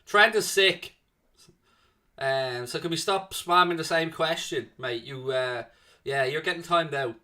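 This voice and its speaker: A man's voice: a little high, a little slow, bright, forceful tone. A young man with a South Dublin Irish accent hosting a football watchalong stream, chatting casually and answering viewers' comments. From Ireland. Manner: chatty, jokey, answers chat with "mate" and "man".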